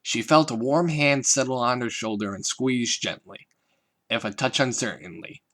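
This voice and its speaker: A man narrating a fanfiction story in a steady, soft reading voice. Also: medium pitch, moderate pace. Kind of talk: audiobook-style story narration.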